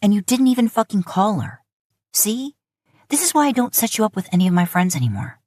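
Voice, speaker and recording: A woman's voice: mid-range voice, sing-song, a little fast, darkish tone, no echo, studio quality, voice pushed up. A woman voicing an exasperated adult younger brother in an audio fan story, blunt and accusing. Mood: scolding.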